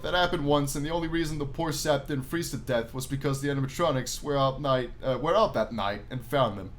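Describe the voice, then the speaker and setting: A man's voice: medium pitch, brisk, slight background noise, natural voice. A man voicing a world-weary, blunt, slangy male nightclub boss in a visual novel game. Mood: matter-of-fact.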